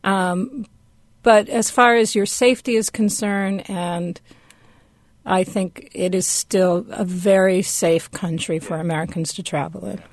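A woman answering questions as a radio guest in a measured, explanatory, conversational way. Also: medium pitch, steady tone, no room sound.

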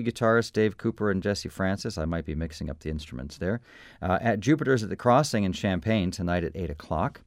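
Deep-voiced easygoing male radio host. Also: no room sound, studio quality. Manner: easygoing, chatty, reads listings with small asides. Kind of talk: casual radio interview and event listings. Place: radio studio. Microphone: studio microphone.

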